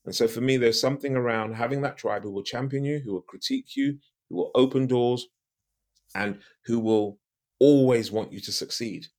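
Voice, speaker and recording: A man's voice: medium pitch, big pitch swings, normal speed, darkish tone. A middle-aged man from the UK, a podcast guest and coach, giving emphatic advice with a big voice, repeating his key phrases and asking rhetorical questions.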